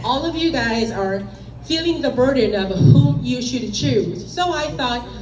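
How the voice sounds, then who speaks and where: A middle-aged woman from South Korea with a Korean accent, giving a loud, emphatic presentation to a meeting audience. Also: on the high side. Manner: loud, emphatic, direct and plain-spoken. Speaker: guest speaker. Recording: echoey room from a distance with faint noise.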